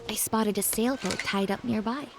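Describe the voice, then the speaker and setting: A woman's voice: mid-range voice, normal speed, vocal fry, natural voice. A young woman playing a student who narrates her journey from home in a reflective, scripted storytelling manner for a game. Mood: wistful. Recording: music behind the voice. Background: music.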